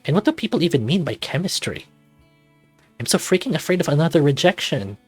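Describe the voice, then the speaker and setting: A man's voice: a little high, sing-song, fairly quick, slightly rough, no echo, faint music behind. A man voicing an anxious, self-doubting man in his thirties, narrating a visual novel introspectively in the first person. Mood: insecure, pleading. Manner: introspective, confessional, dramatic reading.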